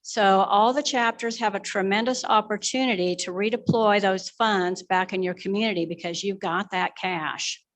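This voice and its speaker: A woman's voice: medium pitch, steady tone, normal speed, hardly any pauses. A woman presenting a prepared financial update as a finance officer at a live members' meeting.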